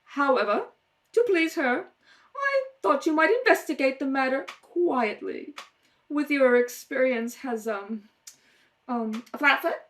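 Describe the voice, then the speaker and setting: A woman's voice: high-pitched, expressive, measured pace, voice pushed down. Woman playing a stern, imperious older society aunt. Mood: haughty, controlled. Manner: imperious, clipped commands. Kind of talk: radio play acting.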